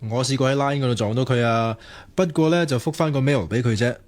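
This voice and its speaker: An actor with a Hong Kong Cantonese accent playing a lovelorn, earnest young student programmer in radio drama dialogue, wry, sighing and self-mocking. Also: male voice, medium pitch, fast, natural voice.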